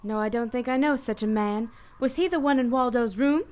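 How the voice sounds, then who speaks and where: Woman acting a high-voiced lovelorn noir dame. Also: brisk, a little mellow, natural voice. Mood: anxious. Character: lovelorn, troubled married woman in a noir mystery. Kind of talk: radio play acting.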